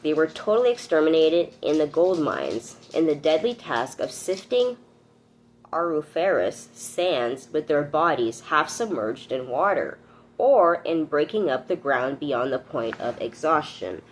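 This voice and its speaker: A woman reads aloud and comments informally. Her voice sits low for a woman and moves with lively, varied intonation. She sounds earnest, and there is some noise behind her. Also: unhurried, poor audio. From Canada. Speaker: host reading a book aloud with commentary.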